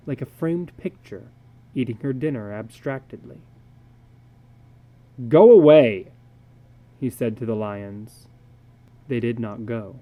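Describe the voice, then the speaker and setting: A man giving a dramatic reading of a short story, deliberate and brooding, with pauses between phrases. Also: medium pitch, dark tone, poor audio. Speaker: narrator.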